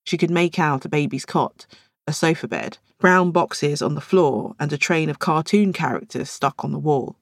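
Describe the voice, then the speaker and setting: A woman's voice: low voice, normal speed, no echo, studio quality. A woman reading scripted fiction as an audiobook narrator.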